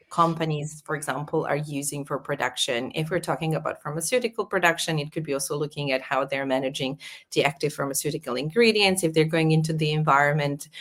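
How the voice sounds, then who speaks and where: A woman's voice: low voice, fairly bright tone. A middle-aged woman from Serbia, a podcast guest, talking thoughtfully with many small restarts. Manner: thoughtful, with frequent restarts and fillers. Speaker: podcast guest, agency founder. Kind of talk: casual interview talk.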